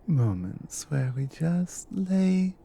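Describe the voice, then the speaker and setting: A woman playing a teasing, devoted Nordic adventurer wife in an intimate ASMR roleplay, speaking softly and often dropping into a whisper. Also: big pitch swings, heavily acted. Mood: affectionate, teasing. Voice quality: soft, low, breathy with whispered stretches. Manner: teasing, unhurried, affectionate.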